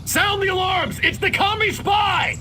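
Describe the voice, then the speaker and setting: An actor with an American accent playing a blustering, hot-headed anti-communist official in a satirical video game. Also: male voice, very high voice, expressive, a little slow, noisy background. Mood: outraged, rallying. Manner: blustery, emphatic. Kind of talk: cartoonish video game voice acting.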